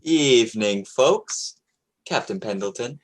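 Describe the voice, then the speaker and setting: A man's voice: mid-range voice, expressive, slow pace. Man playing an earnest young sea captain. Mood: respectful, eager. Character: earnest, confident twenty-year-old New England sea captain. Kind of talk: old-time radio play acting, narrating his log.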